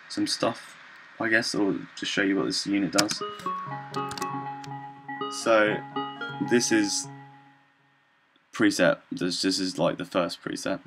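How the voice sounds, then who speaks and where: Low-voiced young man, hesitant presenter. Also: a bit bright, rough recording. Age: young adult. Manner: hesitant, halting, loosely explanatory. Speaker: student presenter. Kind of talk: informal software demonstration.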